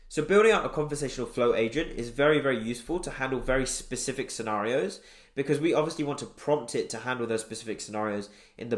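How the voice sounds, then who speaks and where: A man presents a casual screen-share tutorial in a roomy, echoey recording. His voice sits at a middling pitch, and he explains things step by step in a conversational way.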